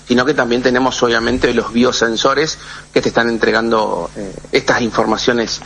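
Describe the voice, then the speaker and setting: A man's voice: mid-range voice, steady tone, normal speed, vocal fry, fairly bright tone, a little hiss or hum. A middle-aged man with an Argentine accent explains things as an interviewed expert, hesitating and repeating words as he goes. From Argentina, born in Uruguay. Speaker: guest expert on sports technology.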